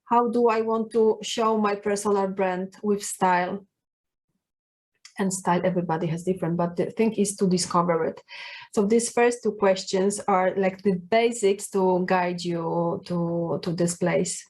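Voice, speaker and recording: A woman's voice: mid-range voice, steady tone, unhurried, a little mellow, rough recording. Polish-accented woman giving style advice. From Poland. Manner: earnest and encouraging, with many fillers and restarts. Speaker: personal brand coach. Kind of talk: talking to camera, giving advice.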